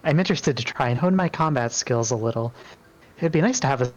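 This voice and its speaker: A man voicing a polite, gently wry female deity, playful and mildly exasperated. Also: medium pitch, lively intonation, fast, highs cut off, close to an everyday voice.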